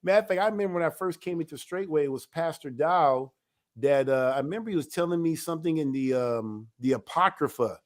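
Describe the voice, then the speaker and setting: Emphatic man, high-pitched. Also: brisk. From United States. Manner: emphatic, animated storytelling. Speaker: elder of a ministry. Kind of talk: religious teaching talk.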